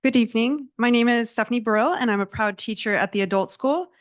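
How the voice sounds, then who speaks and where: A woman teacher giving an articulate, measured prepared comment at a school board meeting. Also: fairly high pitch.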